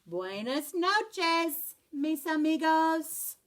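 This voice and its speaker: A woman voicing an excitable little male kitten who pretends to be a Chihuahua sword fighter, in a storybook reading. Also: extremely high pitch, drawn-out words, voice pushed up, exaggerated voice. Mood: playful. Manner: breathless and bouncy, then tiny and hushed.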